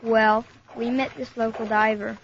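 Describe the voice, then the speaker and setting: A woman's voice: a little high, level tone, a little slow, a little hiss or hum. A woman playing an earnest young teen diver, narrating a 1970s children's adventure record. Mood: earnest storytelling. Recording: muffled old record with music.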